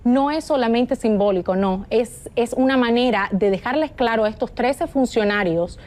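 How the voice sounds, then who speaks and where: A woman's voice: fairly high pitch, normal speed, darkish tone. A woman speaks as a political guest on a news program. Her delivery is fervent and assertive. She pushes her argument forward without hesitation. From Cuba. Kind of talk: remote interview.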